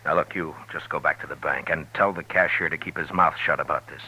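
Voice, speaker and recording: An actor playing a firm, commanding frontier marshal in a 1950s radio drama. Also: male voice, a bass voice, steady tone, a little fast, pushed and bright, a little hiss or hum, heavily acted. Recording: dull, lo-fi old radio broadcast.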